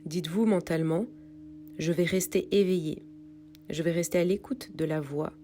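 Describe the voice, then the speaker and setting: A woman reading a guided yoga nidra meditation in a calm, soothing, unhurried way with pauses. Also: fairly low pitch, slight background noise. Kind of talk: guided meditation read from a script.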